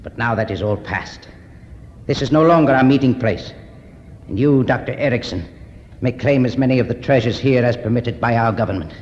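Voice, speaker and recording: An actor playing a solemn, commanding Egyptian cult leader in a 1950s radio drama, speaking in a formal, declamatory way. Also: male voice, medium pitch, brisk, darkish tone, close to an everyday voice. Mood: grave, imperious. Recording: muffled, noisy 1950s radio recording, reverberant.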